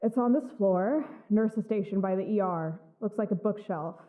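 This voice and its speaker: An actress playing a cool, blunt covert operative who gives terse, authoritative instructions in an audio drama. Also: female voice, mid-range voice, moderate pace, phone-quality sound, close to an everyday voice. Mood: cool, matter-of-fact.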